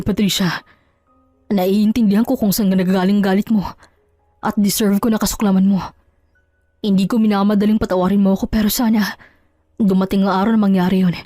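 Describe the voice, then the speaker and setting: A mid-pitched, dry voice over soft music plays a cheating husband acted by a woman in an audio drama. He is ashamed and apologetic, pleading haltingly for forgiveness. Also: dry recording, close to an everyday voice. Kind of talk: Tagalog audio drama acting.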